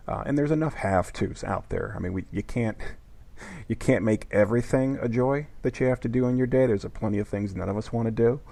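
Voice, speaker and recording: A man hosting an interview podcast, with a gravelly, husky voice, asking reflective questions. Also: medium pitch, a little fast, dark tone. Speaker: podcast host.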